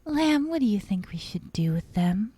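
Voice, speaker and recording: A woman voicing an obsessive, sadistic young yandere captor in a soft, breathy ASMR roleplay, half-whispering with sweet menace. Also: mid-range voice, very animated, no room sound. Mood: playfully sadistic. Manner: slow, breathy half-whispers broken by giggles. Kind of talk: ASMR roleplay, intimate dramatic monologue.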